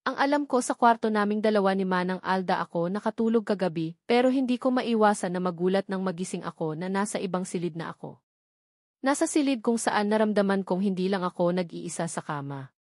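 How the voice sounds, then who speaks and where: A woman's voice: mid-range voice, a little fast, a little mellow, dry recording. Woman narrating a romance story, dry sound. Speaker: audiobook narrator. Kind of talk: scripted first-person romance novel narration.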